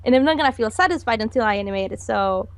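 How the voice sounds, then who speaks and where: A woman animator talking about her work in a casual interview. Her voice sits fairly high and sounds dry and close, with little room around it. She is earnest and giggles between her thoughts. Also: animated, racing, dry recording. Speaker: animator guest.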